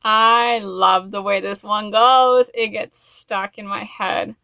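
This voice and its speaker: Bright-voiced woman chatting casually. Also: medium pitch, fairly even intonation, takes it slowly, creaky, no room sound. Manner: rambling, gushing fan talk with frequent "like" and "you know". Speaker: YouTube host. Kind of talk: casual chat to camera, music album review.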